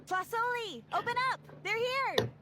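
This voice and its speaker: A woman voicing a friendly, expressive young woman in a video game, worried about her friends. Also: extremely high pitch, unhurried, crowd noise, exaggerated voice. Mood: calling out, worried. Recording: game audio under clear music.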